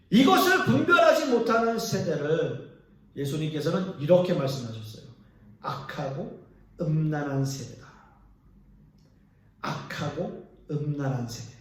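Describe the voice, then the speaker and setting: A man preaching a sermon to his congregation as their pastor, earnest, with pauses, his lines swinging between raised and hushed. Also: high voice. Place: church sanctuary. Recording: echoey church hall from a distance.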